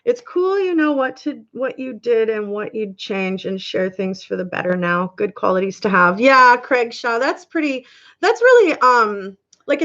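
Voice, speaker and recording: A giggly Canadian woman around forty hosts a casual livestream. Her voice is high and swings up and down a lot, and she talks in an unhurried, affectionate, chatty way. The audio is a little dull and lo-fi. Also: sharp tone. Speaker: livestream host. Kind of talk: casual livestream chat with viewers.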